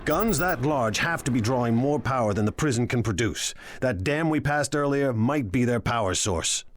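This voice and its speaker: A man's voice: medium pitch, animated, moderate pace, a little hiss or hum, lightly acted. Man playing a smug, scheming crime lord. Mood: sly confidence. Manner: sardonic, self-assured. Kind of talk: scripted video game acting.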